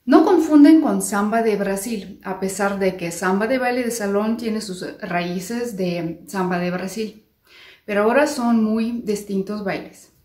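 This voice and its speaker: A young woman, not a native speaker, teaching dance steps with careful, halting phrasing and counted beats, in a large living room. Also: mid-range voice, darkish tone. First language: not Spanish. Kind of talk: slow step-by-step dance instruction, counting beats. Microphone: far camera. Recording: echoey room from far off.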